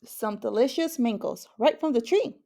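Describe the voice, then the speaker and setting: A woman's voice: fairly high pitch, expressive, moderate pace, gravelly, mellow. A woman voicing an eager, earnest young boy in a storybook read-aloud.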